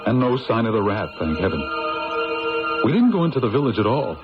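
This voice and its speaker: A man's voice: mid-range voice, sing-song, fairly quick. An actor playing an uneasy, earnest young man who narrates his haunted-house tale in a radio drama. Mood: grave, recounting. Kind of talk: radio drama acting with first-person narration. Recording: dull lo-fi old radio, music behind.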